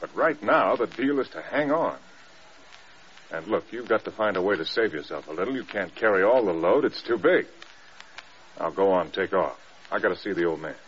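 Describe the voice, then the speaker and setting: A deep-pitched American military officer in an old radio drama. He is steady, frank and plain-spoken. The sound is dull with light background noise. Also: moderate pace. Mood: calming, firm. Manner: plain-spoken, level-headed. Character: frank, steady male air force staff officer.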